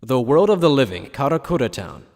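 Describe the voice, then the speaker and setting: Guy narrating anime fan drama, medium pitch, big echoey reverb on it. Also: sing-song, brisk, darkish tone.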